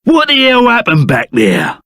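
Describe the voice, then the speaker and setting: Game bandit sidekick, Cockney, excitable, unhurried delivery, close dry sound, rough slang, loyal. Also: male voice, very high-pitched, no echo, heavily acted. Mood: astonished. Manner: rough colloquial slang, blunt and emphatic. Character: gruff, loyal reformed bandit sidekick, plain-spoken and excitable. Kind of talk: video game character voice acting.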